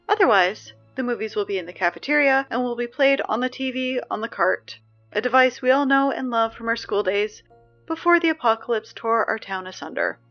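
A woman's voice: fairly high pitch, highs cut off, natural voice. A woman playing a chatty young school announcer who keeps getting flustered, in an audio drama. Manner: chatty, growing flustered and panicky.

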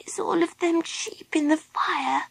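A woman's voice: very high-pitched, lively intonation, a little slow, dry recording, voice pushed up. A woman voicing a frightened, babyish little male furry creature from a children's storybook, with childish grammar and mixed-up words. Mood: quiet, scared.

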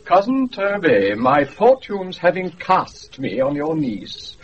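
An actor with a British accent playing a pompous, self-infatuated steward in a radio Shakespeare play. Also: male voice, on the high side, wildly swooping pitch, takes it slowly, full character voice. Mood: haughty. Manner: pompous, savouring each phrase. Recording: muffled, noisy off-air radio recording.

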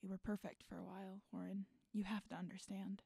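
A woman playing a guarded, regretful, bitter adult in an audio drama, speaking haltingly. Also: mid-range voice, level tone, creaky, dark tone, breathy edge, no echo, clean studio sound. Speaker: voice actor in student audio drama. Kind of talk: naturalistic audio drama dialogue.

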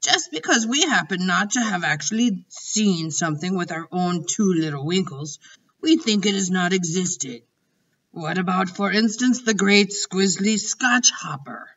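A woman's voice: on the low side, expressive, a little slow, fairly bright tone, breathy edge, full character voice. A woman reading aloud as a kindly male giant who mixes up his words and speaks grandly, in a storybook. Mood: teasing. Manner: jumbled grammar, grand and emphatic. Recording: dull distant recording.

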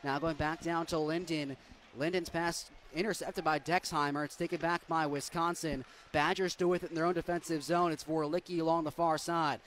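A young man calling hockey play-by-play, giving a rapid, steady account that climbs into loud, excited goal calls. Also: on the high side, slight background noise. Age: young adult. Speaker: play-by-play announcer.

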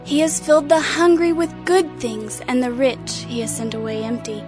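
Devout young maiden, female actor: high pitch, reverent, humble, scripture drama over music. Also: level tone, moderate pace, natural voice. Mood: reverent joy. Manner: reverent, lyrical recitation. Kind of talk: dramatized audio Bible acting.

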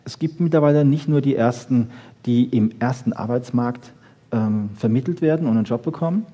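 A man speaks as a lecturer explaining science to an audience without reading from a script. His voice is medium in pitch, dark and a bit husky. He makes his points emphatically, often tagging them with a short questioning check and putting rhetorical questions to his listeners. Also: brisk, dull audio. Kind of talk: popular science lecture to an audience, freely spoken.

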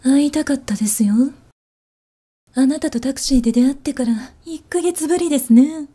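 A woman voicing a sly, sadistic chief flight attendant in a Japanese ASMR voice drama, courteous with a hushed menace. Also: sits high, close to an everyday voice. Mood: sly, menacing. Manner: hushed, slow menace under courteous speech.

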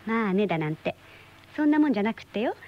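An actress as a fast, teasing woman. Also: mid-range voice, very animated, natural voice. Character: sharp-eyed, teasing woman needling her partner. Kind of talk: radio drama dialogue. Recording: dull lo-fi old radio recording.

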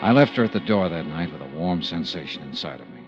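An actor playing a tough but tender-hearted investigator in a 1950s radio detective drama, speaking in a clipped, understated way. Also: male voice, low voice, animated, fast talker. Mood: sober narrating. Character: tough but tender-hearted male insurance investigator narrating his case. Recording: muffled old radio recording, music behind.

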